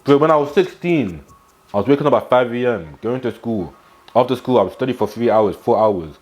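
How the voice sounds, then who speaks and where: A man's voice: medium pitch, animated, brisk, a little mellow. A nineteen-year-old man, talking straight to camera with blunt, slangy motivation and repeated catchphrases, filmed in a small room at home. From England. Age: teens. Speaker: fitness and self-improvement vlogger.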